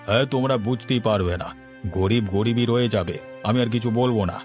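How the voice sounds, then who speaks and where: A boastful, scornful village landlord from a cartoon story, as a man acts him out. His voice sits at an ordinary middle pitch, and he talks down to the poor. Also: fairly quick, darkish tone, faint music behind, close to an everyday voice. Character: arrogant wealthy male village landlord. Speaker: voice actor. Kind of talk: Bengali cartoon story acting.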